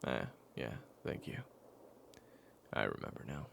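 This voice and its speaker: A man playing a weary, patient caretaker in an intimate audio roleplay, speaking in a hushed, hesitant way. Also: measured pace, background noise, exaggerated voice. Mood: patient, reassuring. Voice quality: very low gravelly voice. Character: weary, patient man caring for an amnesiac companion, retelling their past.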